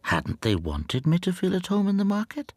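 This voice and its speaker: An actor playing a timid foreign stamp dealer in a radio drama, speaking softly and brooding. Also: male voice, high voice, huge pitch swings, moderate pace, no echo, full character voice. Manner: soft, subdued, brooding.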